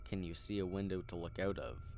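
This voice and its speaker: A man voicing a calm, professional female police emergency dispatcher who asks clear, reassuring questions. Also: deep voice, fairly quick. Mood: reassuring, probing. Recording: music behind the voice.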